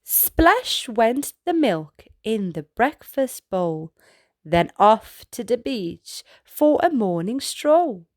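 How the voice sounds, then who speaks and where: A woman host reads a storybook to young children in a high, very lively voice. She takes her time over each phrase and throws out sound words as exclamations. The recording is close and dry. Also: dry recording. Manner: slow, deliberate delivery for young children. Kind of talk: expressive read-aloud picture book narration.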